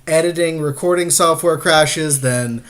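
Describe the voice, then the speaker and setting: A man's voice: a little high, measured pace, a bit bright. A man chatting casually as an easygoing, supportive co-host, commenting on a card game as his friend plays. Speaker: podcast co-host advising the player.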